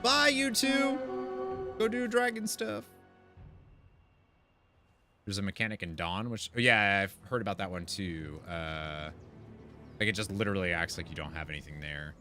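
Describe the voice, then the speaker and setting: A man streams a game with a bright, forceful voice and big swings in intonation. He is casual, slangy and expressive, with game music softly behind him. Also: deep voice, moderate pace, pauses often. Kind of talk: casual gameplay chat, reading quest text aloud.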